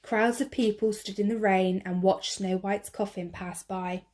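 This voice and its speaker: A woman teacher reading a picture book aloud to children, slow, clear and expressive. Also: medium pitch.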